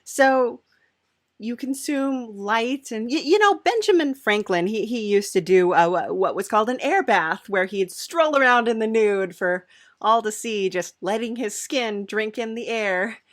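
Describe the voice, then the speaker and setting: Female podcast host, fairly high voice, unhurried and chatty, playful comedy interviewing, close dry sound. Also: expressive, no room sound. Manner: halting, giggly, chatty.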